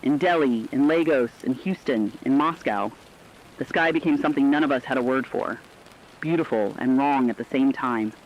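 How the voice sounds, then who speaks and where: A man's voice: mid-range voice, moderate pace, highs cut off, a little hiss or hum, natural voice. A man playing a solemn, reflective radio correspondent who tells human stories of disaster in a scripted, personal narrative style.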